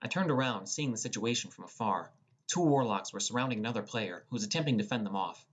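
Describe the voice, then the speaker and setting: Young man narrating a fantasy audiobook. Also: fairly low pitch. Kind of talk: scripted first-person fantasy narration.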